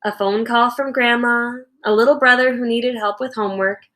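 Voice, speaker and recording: Higher-pitched woman reading a children's story. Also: normal speed. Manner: animated, acts out characters' lines. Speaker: teacher reading a book aloud. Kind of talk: expressive children's storybook narration.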